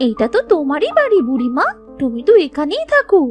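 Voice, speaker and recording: High, lively sweet little girl. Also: fast talker, faint music behind. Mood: affectionate. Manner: childlike, pleading, affectionate. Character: sweet, eager little girl, younger sister. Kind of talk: children's cartoon dialogue.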